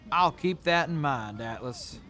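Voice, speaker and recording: An actor playing a sincere, gentlemanly military major in an audio drama, earnest and grateful. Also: male voice, fairly high pitch, lively intonation, takes it slowly, exaggerated voice. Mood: fond. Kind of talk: post-apocalyptic audio drama acting.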